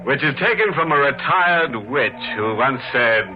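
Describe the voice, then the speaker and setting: A man's voice: medium pitch, lively intonation, a little slow, close to an everyday voice. An actor playing a gleefully macabre 1950s horror radio host, mocking and savoring his words. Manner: mocking, punning, savoring each word. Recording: muffled old radio broadcast, music behind.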